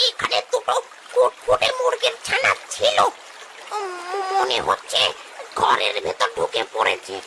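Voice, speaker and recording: A fawning cartoon crow, scout to a bigger boss, with an extremely high voice that leaps all over. It stammers and pleads, always eager to please, over loud background noise. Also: huge pitch swings, a little slow, vocal fry, full character voice. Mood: nervous, pleading. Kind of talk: cartoon story dialogue.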